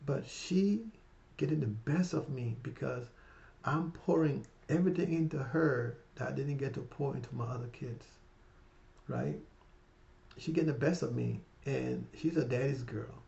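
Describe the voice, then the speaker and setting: A man in his fifties with a gravelly, dark voice talks to camera in a loose, rambling, heartfelt way. He keeps checking in with his viewers. The sound is a little dull, like a plain home video recording. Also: mid-range voice, a little slow. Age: 50s. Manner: rambling, repeats "right?" and "you guys"; warm and heartfelt, emotional about his mother. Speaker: finance vlogger. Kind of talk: casual motivational talk to camera.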